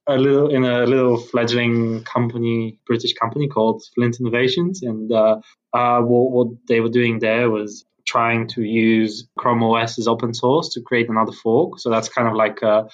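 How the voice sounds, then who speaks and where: A slightly dull recording carries a man from Bulgaria, a native Bulgarian speaker in his thirties. His voice is medium in pitch. He talks casually as a guest, rambling and hesitating as he goes. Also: flat delivery, moderate pace. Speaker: podcast guest, tech founder. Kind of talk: casual conversation.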